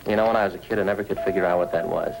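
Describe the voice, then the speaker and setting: A man's voice: low voice, steady tone, very fast, creaky, rough recording. A man playing a talkative, homely American police detective, folksy and conversational, in 1950s radio style. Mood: chatty. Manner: chatty, folksy. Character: talkative, homely married police partner, fussing over his friend. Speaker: supporting actor in a police radio drama. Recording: old radio recording with faint noise.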